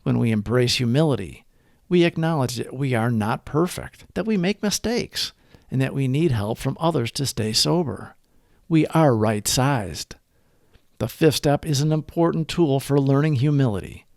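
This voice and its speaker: A man hosting a recovery podcast, reading daily reflections aloud in an earnest, measured way. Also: mid-range voice, animated, gravelly, darkish tone, no room sound, clean studio sound.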